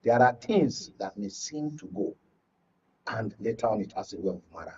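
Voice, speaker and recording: Male Nigerian panel analyst, heavily raspy, emphatic and repetitive, muffled off-mic sound. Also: mid-range voice, very animated, normal speed, a little mellow. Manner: emphatic, repeats words and phrases for stress. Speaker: studio guest, journalist and media analyst. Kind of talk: live political panel discussion. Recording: muffled, distant-mic broadcast audio.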